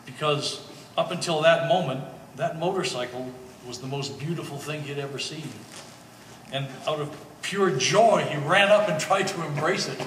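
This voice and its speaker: A man playing a wry, excitable treasure hunter in a stage play, thinking aloud in halting phrases. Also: on the high side, far from the mic, natural voice. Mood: reflective, wry. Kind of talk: live one-act stage play. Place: art gallery performance space. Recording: echoey hall from afar, faint noise.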